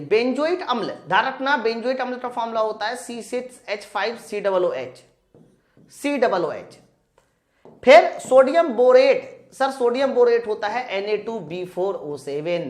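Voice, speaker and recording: In an echoey room, a woman teaches a science class in a fairly high voice. She puts questions to her students, answers them herself and drills the key points by repetition.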